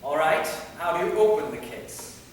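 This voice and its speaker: An actor playing a flustered, lovestruck amateur thief in a staged play reading. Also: male voice, fairly high pitch, normal speed, lightly acted. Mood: bossy, urgent. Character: Henry, flustered lovestruck man caught stealing his diary. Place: theater hall, mic at a distance. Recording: roomy hall from far off, noisy.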